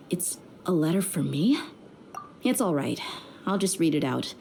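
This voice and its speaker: A woman's voice: on the low side, sing-song, measured pace, darkish tone, slight background noise. An actress playing a tough young woman mercenary in a video game, dry and weary with tenderness underneath. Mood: touched, composed. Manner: dry, weary, plain-spoken. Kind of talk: video game character dialogue.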